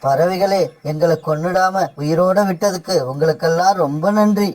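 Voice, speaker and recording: A man's voice: sits high, normal speed, darkish tone, lightly acted. A man voicing a boastful, troublemaking male crow in a children's cartoon story. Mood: pleading.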